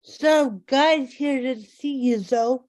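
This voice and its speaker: A woman's voice: high-pitched, slow, darkish tone. A woman playing minor supporting characters in a drama script read. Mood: casual. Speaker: voice actor in a table read.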